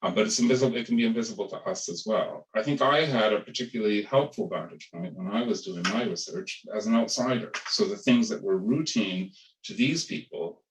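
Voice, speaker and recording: Male academic panelist, deep voice, halting restarts, roomy distant sound. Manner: thoughtful, hesitant with many restarts. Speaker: panel speaker, ethnographer. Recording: echoey room, far from the mic.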